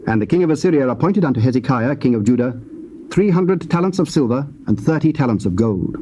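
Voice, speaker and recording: A man with a British accent narrating scripture in a solemn, measured and formal way. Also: fairly high pitch, mellow, poor audio. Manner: measured, formal, deliberate phrasing. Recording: old cassette with faint hiss.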